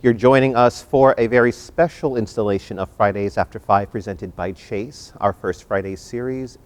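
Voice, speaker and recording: A man hosting a live theater discussion as moderator, speaking with theatrical, deliberate emphasis. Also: medium pitch. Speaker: event host and moderator.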